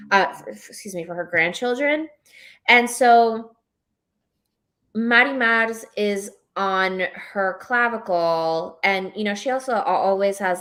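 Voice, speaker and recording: A woman from Ecuador and New York talks casually as a guest author, halting and then rushing as she explains. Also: mid-range voice, a bit bright. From born in Ecuador, moved to New York at six or seven. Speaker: novelist, guest at a book club. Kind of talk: casual live discussion about writing.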